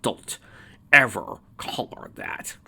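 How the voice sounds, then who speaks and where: A man's voice: fairly low pitch, normal speed, pushed and bright, husky, exaggerated voice. A man voicing a furious, vengeful male chaos creature in a story reading, with emphatic, threatening delivery.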